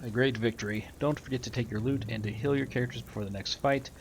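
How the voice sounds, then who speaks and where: A man's voice: medium pitch, steady tone, fast, slight background noise. A man giving casual, matter-of-fact gameplay commentary and reading tutorial text aloud as he plays. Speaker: gamer doing a playthrough.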